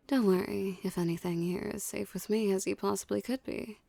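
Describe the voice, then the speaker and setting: A woman's voice: mid-range voice, dark tone, no room sound. A woman playing a dominant, teasing mafia boss in an ASMR roleplay, speaking with cool, condescending flirtation. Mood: teasing, reassuring. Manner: smooth menacing flirtation, condescending, unhurried. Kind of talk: ASMR roleplay, scripted character acting.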